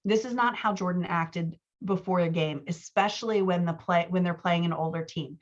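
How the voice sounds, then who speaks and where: A woman with a fairly low voice talks through edits as a writing coach. She is informal and explanatory, slipping into quoted example lines as she goes. The audio is a little dull and lo-fi. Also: moderate pace.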